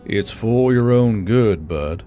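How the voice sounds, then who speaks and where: A man voicing a calm, protective man in a fantasy audiobook, speaking in a measured, gently chiding way. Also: fairly low pitch, faint music behind. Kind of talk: audiobook dialogue.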